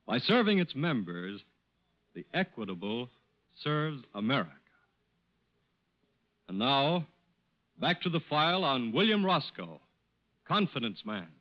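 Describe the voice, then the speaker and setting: A man with a medium-pitched, strongly animated voice, delivering a scripted 1940s radio announcement. His manner is grand and oratorical, and the recording sounds muffled. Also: a little slow, long pauses. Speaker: radio announcer reading sponsor message.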